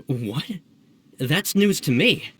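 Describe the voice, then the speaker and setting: An actor playing an earnest, excitable young male subordinate in a video game, eager and emphatic. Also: fairly high pitch, takes it slowly, slight background noise. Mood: flustered insistence. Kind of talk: scripted video game voice acting.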